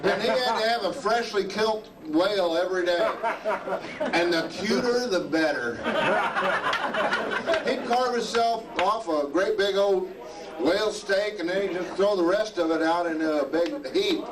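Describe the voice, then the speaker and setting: A man's voice: sits high, measured pace. A man playing a crude, folksy character who greases reindeer, drawling and chuckling in a live stage comedy. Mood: gossipy. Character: crude backwoods male elf, greasing reindeer. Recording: live club recording from far off, loud music and crowd.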